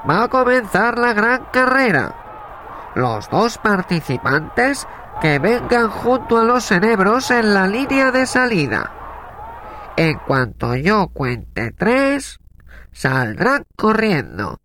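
An excitable animal race announcer played by a man in a children's fable, ceremonious and exclaiming. The voice is high and bright, swinging wildly in pitch as it slowly proclaims each phrase. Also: a little hiss or hum, full character voice. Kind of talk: children's audio story acting.

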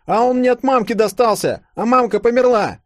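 A man's voice: extremely high pitch, normal speed, no room sound, studio quality, voice pushed up. A man voicing a skinny young peasant boy who whines tearfully about his loss. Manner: whiny, tearful.

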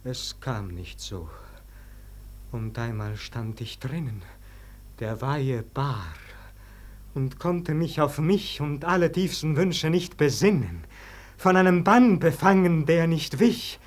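An actor playing an anguished, brooding man facing Death, declaiming verse in a theatrical, plaintive way in a classic German radio drama. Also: a little high.

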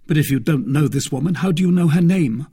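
A man's voice: fairly high pitch, animated, fairly quick, mellow, breathy edge, pitched above the performer's own voice. A man voicing a commanding young swordsman of twenty, stern and imperious, in an audiobook. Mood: probing. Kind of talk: dramatised audiobook character voice.

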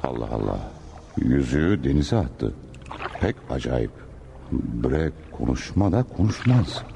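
A man's voice: very deep voice, very animated, unhurried, vocal fry, dark tone, slight background noise, exaggerated voice. An actor playing a stately, devout Ottoman sultan in a radio play, speaking in archaic, courtly exclamations. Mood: puzzled, amazed. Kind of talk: Turkish radio theatre acting.

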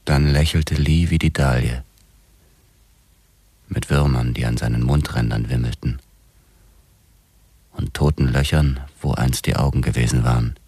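An actor playing a grim police detective who narrates his own story in measured radio drama style. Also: very deep voice, fairly even intonation, warm tone, no room sound. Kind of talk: scripted first-person narration in radio drama.

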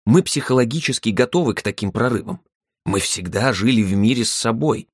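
A man narrating a literary first-person story in a measured, deliberate way, with dramatic pauses. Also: lowish voice, lively intonation, breathy edge, no echo, clean studio sound. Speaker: audiobook narrator.

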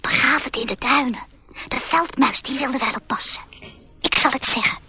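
A woman voicing a secretive little mouse in a radio drama, with a breathy, whispery voice. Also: high-pitched, normal speed, pushed and bright. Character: secretive little female mouse, matter-of-fact.

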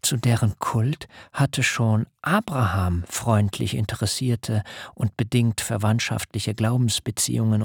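Slow, husky grave traveller. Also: male voice, lowish voice, no room sound, clean studio sound, exaggerated voice. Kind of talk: literary radio drama narration.

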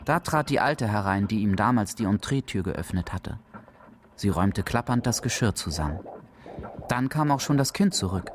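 A man's voice: lowish voice, animated, moderate pace, a little hiss or hum. A man reading scripted literary narration as the narrator of a radio drama.

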